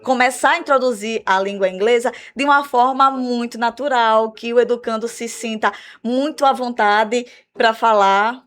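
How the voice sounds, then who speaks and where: A woman with a Brazilian Portuguese accent, an English teacher explaining her school's program in a radio interview, measured and full of "né?" tags. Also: a little high, fairly even intonation, a bit bright. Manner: measured, explanatory, repeats words with "né?" fillers.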